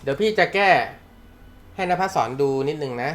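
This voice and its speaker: A man's voice: a little high, normal speed, a bit bright. A young man teaching a manga class in a casual, joking way, laughing often and using slang. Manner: chatty, laughs often, uses slang and swears. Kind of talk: casual live class talk.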